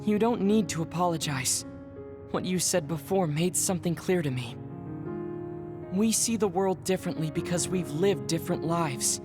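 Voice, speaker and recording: An actor playing a serious, formal young man in a game cutscene, speaking in a measured, polite way. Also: a little high, natural voice. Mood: composed. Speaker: game character. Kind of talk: dubbed game cutscene dialogue. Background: game music.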